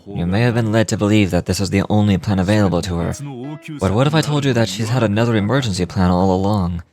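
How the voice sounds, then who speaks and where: An actor playing a calm, calculating military commander in a video game, speaking in a measured, formal, persuasive way. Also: male voice, low voice, lightly acted. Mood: ominous.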